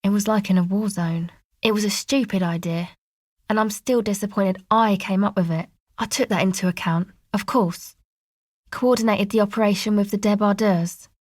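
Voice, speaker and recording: A woman's voice: medium pitch, steady tone, normal speed, no echo, natural voice. Woman acting a guarded, laid-back young streetwise woman, dry-recorded. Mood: somber, flat, then matter-of-fact, resigned. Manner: casual, understated, dry, slangy. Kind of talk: video game dialogue acting.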